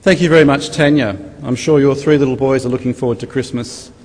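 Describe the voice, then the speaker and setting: A man's voice: mid-range voice, fairly even intonation, fast talker, a little mellow. Male MC in an echoing hall. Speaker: master of ceremonies. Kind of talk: formal event thanks and announcements. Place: large university hall. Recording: reverberant hall, faint ambient noise.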